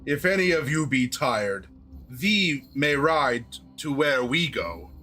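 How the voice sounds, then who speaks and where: A proud, stern centaur, acted out by a man, speaks in a slow, raised, archaic cadence. His voice rings bright and forceful, like a stately storybook creature giving orders. Soft music plays faintly underneath. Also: medium pitch, sing-song, heavily acted. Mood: commanding. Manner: archaic formal speech, slow and measured. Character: commanding male centaur, stern and formal.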